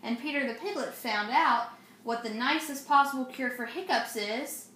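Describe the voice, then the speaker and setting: A woman's voice: sits high, normal speed, bright, forceful tone. A young adult woman reading and explaining for children, often asking the listener questions. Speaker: storyteller and craft presenter. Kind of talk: children's read-aloud and simple instruction. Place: indoors, home dining room. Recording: roomy, noisy home phone recording.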